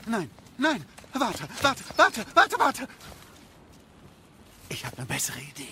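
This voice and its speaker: A man playing a fussy, squeamish craftsman in an audio drama, excitable and easily flustered. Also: very high-pitched, slow, slight background noise, heavily acted. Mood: squeamish, urgent. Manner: stammering, fussy, agitated.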